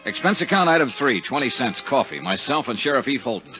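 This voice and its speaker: An actor playing a dogged, wry insurance investigator in a 1950s radio detective drama. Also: male voice, medium pitch, very animated, fairly quick, lightly acted. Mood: wry, clipped. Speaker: radio drama lead and narrator. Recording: dull old 1950s radio recording, music behind.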